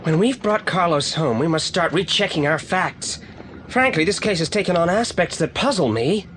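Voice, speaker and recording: A woman's voice: on the low side, animated, moderate pace, close to an everyday voice. A woman playing a clever, self-assured boy detective with a British accent, precise and wordy, in an audio drama. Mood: pensive, assured. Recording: noisy old audio play recording.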